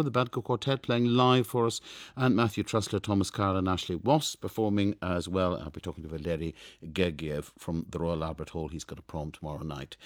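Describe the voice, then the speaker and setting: Deep-voiced radio announcer, dry studio. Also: male voice, no room sound. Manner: smooth, measured announcer delivery. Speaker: radio presenter. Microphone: studio microphone.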